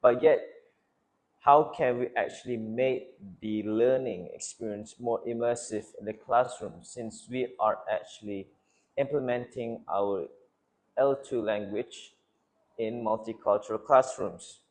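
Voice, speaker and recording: A man's voice: medium pitch, fairly even intonation, a little slow, darkish tone, poor audio. A young man answers as a panelist in a student panel discussion, fluent and formal in a lecturing way.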